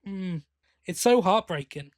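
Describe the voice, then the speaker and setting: Higher-voiced man chatting on a podcast. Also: lively intonation, measured pace, no room sound, clean studio sound. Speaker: podcast co-host. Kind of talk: casual fan discussion.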